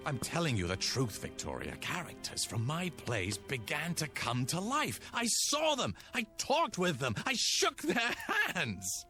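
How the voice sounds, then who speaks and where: An actor playing an excitable, earnest playwright husband in a radio drama, pleading and stammering with dramatic emphasis. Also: male voice, on the high side, measured pace, hardly any pauses, soft background music, full character voice.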